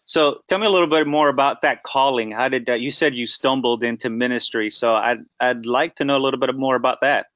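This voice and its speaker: A man's voice: medium pitch, brisk, few pauses, a bit bright, no room sound. Guy asking interview questions, dead dry sound, kinda muffled.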